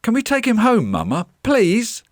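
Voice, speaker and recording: An eager young schoolboy from a storybook, acted by a man. The voice is high and swoops about with an excitable, pleading manner. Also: normal speed, dry recording, studio quality, full character voice.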